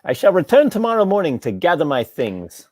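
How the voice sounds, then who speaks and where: A woman's voice: fairly low pitch, sing-song, a little fast, darkish tone, no echo, studio quality. A woman playing an indignant, self-important male lodger in a theatrical comedy table read, with a pompous manner.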